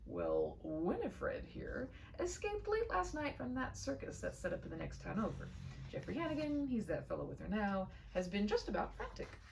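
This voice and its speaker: A woman's voice: medium pitch, sing-song, moderate pace, gravelly, darkish tone, far from the mic, a little hiss or hum. A woman voicing folksy, amused male animal control officers with a genial, teasing, easygoing way of talking.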